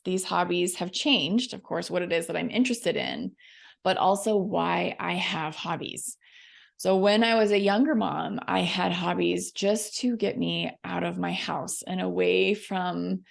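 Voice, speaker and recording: A middle-aged American woman with a low, gravelly voice made froggy by laryngitis. She talks like a podcast host chatting to her listeners, friendly, upbeat and informal. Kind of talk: chatty solo podcast talk.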